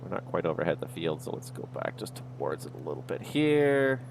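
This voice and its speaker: A man's voice: low voice, very animated, a little fast, creaky, noisy background. A man streaming a flight sim, casually talking himself through each step of the flight as he goes. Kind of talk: livestream commentary, thinking aloud.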